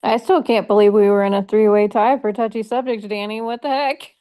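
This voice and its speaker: A woman's voice: mid-range voice, steady tone, brisk, dark tone. Woman in an online game, chatty, pokes fun at herself, video call mic. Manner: chatty and self-deprecating. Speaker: game contestant. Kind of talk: casual chat.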